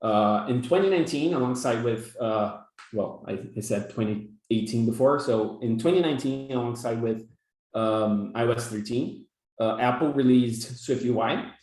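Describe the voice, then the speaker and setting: A man's voice: medium pitch, normal speed, a little mellow. A young man from Brazil with a Brazilian Portuguese accent, teaching a workshop over a video call and stumbling through fillers and repeated words. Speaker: workshop instructor. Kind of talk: live coding workshop lecture. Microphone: video call webcam. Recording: echoey room on a video call.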